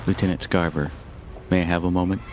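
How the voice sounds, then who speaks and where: A man's voice: low voice, steady tone, background noise, close to an everyday voice. A man playing a cool, formal and calculating government recruiter in an audio drama. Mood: formal, insistent. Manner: formal, measured, insinuating. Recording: music under the dialogue.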